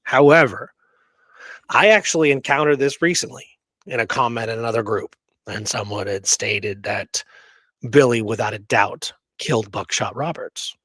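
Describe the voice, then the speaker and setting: A man's voice: mid-range voice, normal speed, a bit bright, dry recording. Emphatic man telling history stories casually. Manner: emphatic, keeps checking in with "right?". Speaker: history show co-host. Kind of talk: casual history discussion and storytelling.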